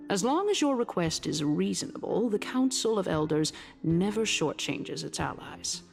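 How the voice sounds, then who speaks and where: A woman voice-acting a shrewd, courtly female council politician in a video game, speaking in formal, measured, grandiose oratory. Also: on the low side, vocal fry. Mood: measured, diplomatic.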